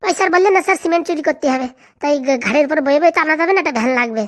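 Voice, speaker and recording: Woman doing a squeaky high young village sidekick guy, bengali country accent, super fast, sucking up and bragging, cartoon. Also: expressive. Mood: eager, fawning. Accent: rural Bengali. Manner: obsequious, boastful, chattering. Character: eager, fawning young male village henchman. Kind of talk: comedy cartoon dialogue.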